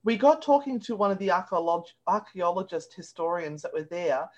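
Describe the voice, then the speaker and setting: A woman's voice: on the low side, brisk, highs cut off. Chatty woman, laughs a lot. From Australia. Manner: chatty, laughs often, playful storyteller. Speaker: guest. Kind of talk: casual chat.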